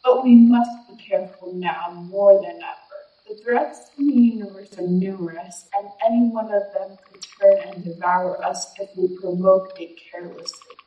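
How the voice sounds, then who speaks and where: A woman acts out a composed alien elder stateswoman and mother in an audio drama. Her mid-pitched voice is formal, careful and restrained, ringing in a roomy echo. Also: normal speed, hardly any pauses, dull audio, close to an everyday voice. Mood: cautioning. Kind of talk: scripted audio drama dialogue.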